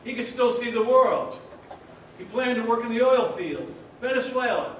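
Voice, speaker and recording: A man's voice: extremely high pitch, moderate pace. An actor playing a patient male storyteller, narrating in an old-time radio play. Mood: explaining. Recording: echoey theater, distant mic, faint noise.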